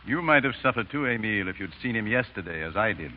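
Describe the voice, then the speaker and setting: A man's voice: fairly low pitch, lively intonation, fast talker, lightly acted. An actor in a 1930s radio drama playing a grave, compassionate French man of letters, speaking with earnest drama. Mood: grave, moved. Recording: dull, hissy 1930s radio recording.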